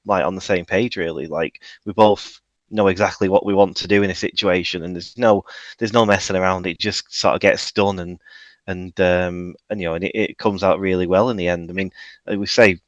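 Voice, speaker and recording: A man's voice: sits low, steady tone, fairly quick, no echo, muffled recording. A man, a music producer guest in a radio interview, talking in a modest, self-deprecating and rambling way, with plenty of fillers. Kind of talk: casual radio interview chat.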